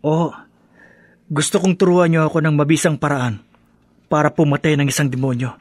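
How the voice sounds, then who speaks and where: Man acting a higher-pitched vengeful young student. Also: steady tone, normal speed, no room sound, clean studio sound, close to an everyday voice. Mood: earnest, determined. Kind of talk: Filipino radio horror drama acting.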